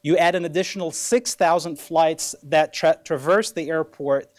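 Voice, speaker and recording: A man's voice: fairly high pitch, steady tone, normal speed. A man speaking as a county law director, giving a formal legal briefing at a press conference.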